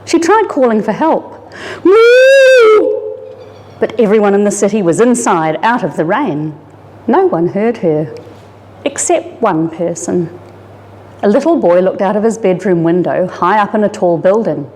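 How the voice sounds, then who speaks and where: A woman reads a children's picture book aloud in a dramatic, animated storytelling manner. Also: medium pitch, moderate pace. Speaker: story reader, disaster resilience officer. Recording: reverberant hall-like room.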